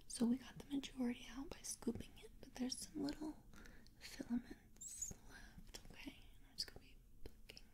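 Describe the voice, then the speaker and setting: A young woman whispers softly and breathily up close, gently soothing and reassuring in an ASMR girlfriend comfort roleplay. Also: sits high, fairly even intonation. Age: young adult. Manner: soothing, gentle, reassuring, repeating words slowly. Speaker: ASMR performer. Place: small quiet indoor room. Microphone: close to the mic.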